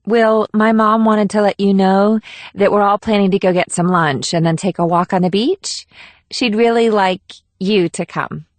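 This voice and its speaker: A woman's voice: medium pitch, steady tone, moderate pace, no room sound, lightly acted. A woman playing an eager, pouty teenage girl in audiobook dialogue. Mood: eager, smitten.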